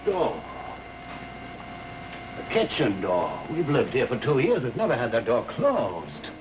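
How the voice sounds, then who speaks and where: A stubborn, excitable investigator in an old-time radio thriller. His fairly high voice swings widely as he insists and pushes. The recording is muffled, with a light hiss. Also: measured pace. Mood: determined. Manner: insistent, clipped, emphatic.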